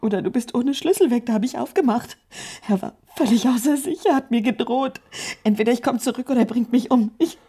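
An actress playing a scheming, manipulative wife in a radio crime drama, coaxing between pleading and breathy whispers. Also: female voice, on the high side, brisk, mellow. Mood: tearful, frightened. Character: scheming, manipulative wife urging her lover toward murder.

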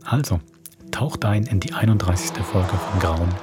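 A man, the producer and host of a horror audio series, telling a spooky scripted introduction in a hushed way. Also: deep voice, moderate pace, loud music behind the voice.

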